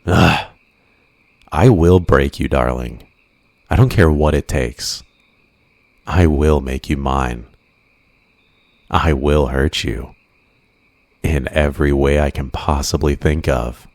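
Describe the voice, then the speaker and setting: A man playing an obsessive, possessive villain in an ASMR roleplay, speaking with deliberate, intimate menace and coaxing endearments. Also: very deep voice, darkish tone, dry recording, studio quality, exaggerated voice. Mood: menacing, possessive. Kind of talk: ASMR roleplay, scripted monologue.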